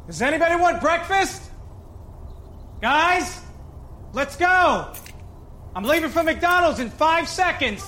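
A man's voice: extremely high pitch, expressive, slow pace, long pauses, bright, forceful tone, full character voice. An actor playing a man calling his friends out to breakfast in a commercial, in an echoey, noisy room. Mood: urging. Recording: echoey room with ambient noise.

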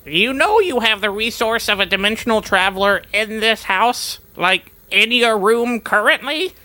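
A woman voicing a bewildered, sensible friend who asks deadpan questions and sometimes squeals in surprise. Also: on the high side, moderate pace. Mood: exasperated.

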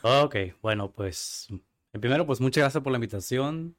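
A young man from Tijuana, talking casually as a guest in an interview, chatty and quick to laugh. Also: mid-range voice. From Tijuana, Mexico. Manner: relaxed, chatty, laughs easily, filler-heavy.

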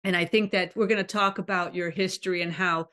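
Friendly woman host, lower register, animated and chatty with her guest. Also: a little fast, clear, resonant tone. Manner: chatty, enthusiastic, laughs readily. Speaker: podcast host. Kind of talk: conversational interview.

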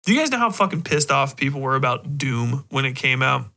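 A man with a bright, forceful voice talks as a podcast host. He rambles casually through his monologue in an animated way, swearing often and freely. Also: mid-range voice, a little fast. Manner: rambling, animated, heavily profane.

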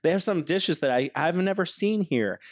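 A man's voice: on the high side, expressive, moderate pace, no echo, studio quality. An American man from California chatting casually as a podcast host. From California, United States. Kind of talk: casual conversational podcast chat.

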